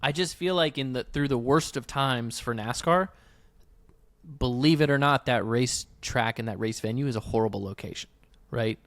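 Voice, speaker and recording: A man speaks as a podcast co-host in casual conversation, often halting to think aloud and seeking agreement. His voice is mid-pitched and recorded dry, with hardly any room sound. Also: fairly bright tone, dry recording. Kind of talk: casual motorsports discussion.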